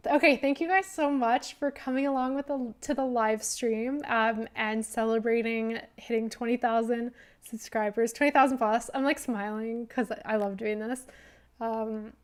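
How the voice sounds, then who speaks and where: Young woman rambling thru a livestream q&a, lots of likes and ums, voice going a bit hoarse, kinda high. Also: fairly even intonation, moderate pace. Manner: rambling, heavy use of "like" and "um". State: losing her voice. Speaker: wildlife biologist host. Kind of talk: casual livestream Q&A.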